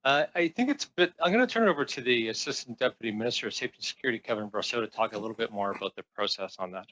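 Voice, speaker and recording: A man's voice: mid-range voice, animated, rapid-fire, sharp tone, rough recording. A man speaking as a senior government official at a committee hearing, formal and diplomatic, with hesitant, often stumbling delivery. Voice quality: hesitant delivery, frequent stumbles and repeated words. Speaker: senior transport department official. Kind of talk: answering questions at a committee hearing.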